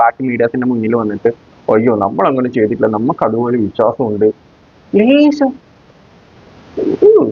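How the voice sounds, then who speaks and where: A man's voice: mid-range voice, phone-quality sound. A man with a Kerala accent giving scornful, mocking, opinionated commentary as a YouTube commentator. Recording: rough, band-limited, roomy sound.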